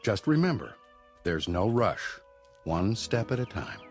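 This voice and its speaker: Deep-voiced, fatherly doctor. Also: sing-song, soft background music. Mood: reassuring. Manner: measured, reassuring, paternal. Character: fatherly, reassuring male sanitarium doctor. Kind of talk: radio drama acting.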